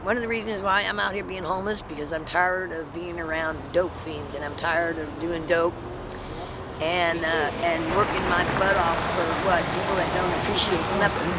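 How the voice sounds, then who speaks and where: A middle-aged homeless man in a street interview, talking plainly and bluntly. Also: sits high. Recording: loud traffic noise outdoors.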